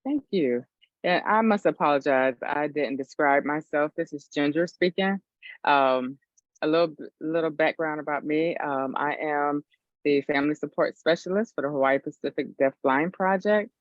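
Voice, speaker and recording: A woman's voice: deep voice, normal speed, dry recording, dull audio. A woman introduces herself on a video call in a hesitant, informal way, using lots of ums. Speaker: family support specialist. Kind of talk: webinar self-introduction.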